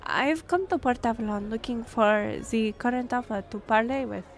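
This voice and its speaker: A woman's voice: fairly high pitch, moderate pace, lightly acted. A woman playing a polite, flustered young half-elf royal liaison in a fantasy audio roleplay, hesitant and apologetic. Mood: polite, formal.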